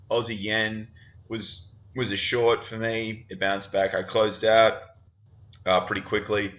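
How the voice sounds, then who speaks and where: A man's voice: lowish voice, pushed and bright. A man hosting a casual trading chat, sounding nasal and stuffy from hay fever, rambling with lots of fillers. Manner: chatty, rambling with many fillers. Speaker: podcast host. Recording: dull lo-fi recording.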